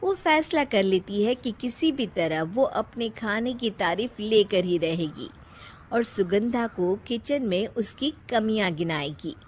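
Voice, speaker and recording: A woman narrating a scripted moral story in a measured storytelling delivery. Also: medium pitch, expressive, slight background noise.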